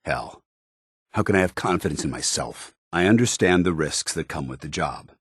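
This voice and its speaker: An American man voicing a stern, earnest, duty-bound military commander in a video game, speaking in formal, measured phrases. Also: a bass voice, animated, breathy edge, no room sound, clean studio sound, exaggerated voice. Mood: self-doubting. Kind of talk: video game companion dialogue.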